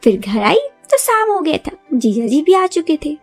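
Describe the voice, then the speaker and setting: A woman's voice: high voice, very animated, normal speed, faint music behind. A young woman narrating a first-person story in a chatty, confiding way, often addressing listeners with rhetorical asides.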